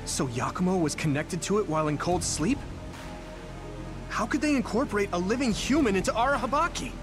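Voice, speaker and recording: An actor playing an earnest, idealistic young hero in a video game, imploring yet determined. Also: male voice, sits high, animated, a little fast, music in the background. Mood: puzzled concern. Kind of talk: dramatic video game acting.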